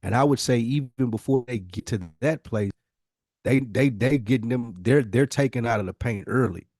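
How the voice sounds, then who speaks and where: A man speaks in an opinionated, conversational way on a podcast. His mid-pitched voice is emphatic and slangy, with some profanity, and he repeats phrases to press his point. The sound is dry, with little room to it. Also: moderate pace, darkish tone, dry recording.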